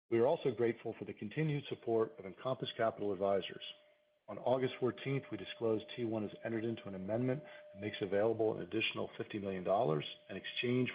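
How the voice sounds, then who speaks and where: A man reading a scripted earnings call presentation as a finance executive, in a formal, measured way. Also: lowish voice, creaky, breathy edge. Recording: slightly dull conference call audio.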